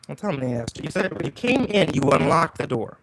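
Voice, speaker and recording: A man's voice: fairly high pitch, sing-song, fairly quick, creaky, fairly bright tone, a little hiss or hum, heavily acted, low-quality recording. Man playing a wry, laid-back private eye. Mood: wry, inquisitive. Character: wisecracking, flirtatious male private detective, ex-cop. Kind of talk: 1940s detective radio drama. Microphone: livestream performance.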